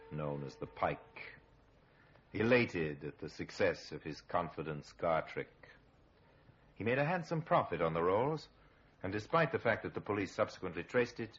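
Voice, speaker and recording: A grave storyteller from a vintage radio crime series. The voice is very deep and rough-edged, measured and drily ironic, on a muffled old recording. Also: male voice. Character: grave male narrator of a true-crime series, sardonic. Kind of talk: 1950s radio crime drama narration, dramatic.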